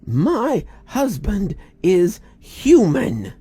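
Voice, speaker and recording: A man's voice: high-pitched, huge pitch swings, extremely slow, dark tone, slightly rough, no room sound, voice pushed up, exaggerated voice. A man voicing a grieving, desperately hopeful wife who coos and pleads in a quivering voice, as in a storybook reading. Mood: indignant, distraught. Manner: cooing, pleading. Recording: music behind the voice.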